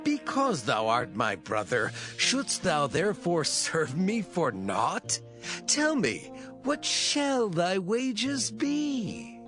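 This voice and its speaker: An actor playing an older, shrewd biblical patriarch in a dramatized scripture reading, with theatrical, emphatic delivery. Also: male voice, sits high, wildly swooping pitch, takes it slowly, over music, exaggerated voice. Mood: inquiring.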